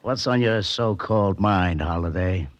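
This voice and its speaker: An actor playing a gruff, impatient police detective in a 1940s radio drama, sarcastic and needling. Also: male voice, low voice, measured pace, dry recording. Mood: sarcastic, exasperated. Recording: dull vintage radio recording.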